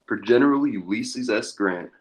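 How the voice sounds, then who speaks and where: A man's voice: fairly low pitch, moderate pace, lightly acted. A man playing a haunted war-veteran leader of an outlaw gang in an amateur western table read. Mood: feverish.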